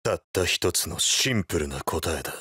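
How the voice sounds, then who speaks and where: Low, menacing young tough hero. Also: male voice, lively intonation, bright, forceful tone, husky, no room sound, clean studio sound, full character voice. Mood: cold anger. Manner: blunt, menacing, deliberate. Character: stern, cool, tough young male hero.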